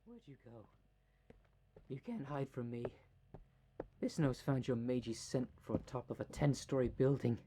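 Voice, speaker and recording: A man's voice: medium pitch, moderate pace, pauses often, heavy background noise, lightly acted. A man playing a cocky, cunning werecat with a smug, taunting, theatrical manner in an audio roleplay. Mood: angry, hunting. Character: cocky, cunning male werecat shapeshifter, boastful and menacing. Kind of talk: ASMR roleplay monologue.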